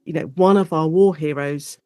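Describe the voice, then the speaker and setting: A British woman speaking as an expert guest in a conversational interview, explaining history in an informal, animated storytelling way. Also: deep voice, normal speed, dry recording. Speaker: guest expert, lecturer and author on Shakespeare in radio.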